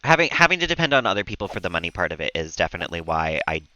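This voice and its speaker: Calm, low-voiced man streaming games. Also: very fast, no echo, rough recording. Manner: calm, relaxed, rambling. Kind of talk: casual chat while gaming.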